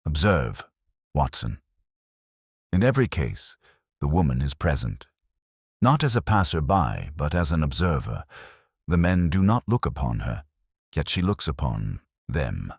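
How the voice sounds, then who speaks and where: A man voicing a cool, precise, commanding detective in a measured, deliberate audiobook performance. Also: rumbling low, very animated, husky, no echo, studio quality, full character voice. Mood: assured, deliberate.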